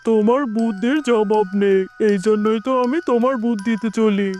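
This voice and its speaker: A woman's voice: fairly high pitch, fairly even intonation, moderate pace, a little mellow, soft background music, lightly acted. A woman voicing a bullying, grudging male tiger in an animal cartoon. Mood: scheming. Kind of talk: scripted cartoon dialogue.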